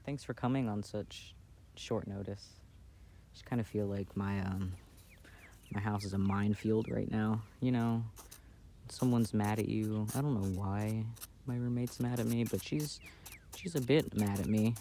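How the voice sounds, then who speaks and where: A man playing an affectionate, playful boyfriend in a casual, intimate ASMR roleplay, speaking softly and hesitantly, recorded outdoors. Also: on the low side, measured pace, creaky. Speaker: amateur audio roleplay performer. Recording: outdoor ambient noise.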